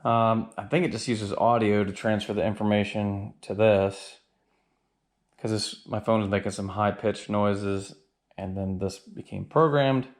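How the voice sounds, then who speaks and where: A man's voice: fairly low pitch, fairly even intonation, unhurried, no room sound. A man giving a casual, plainspoken hands-on walkthrough, explaining things step by step as he reviews and installs a product. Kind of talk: casual hands-on how-to narration. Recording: clear background noise, ambient sound.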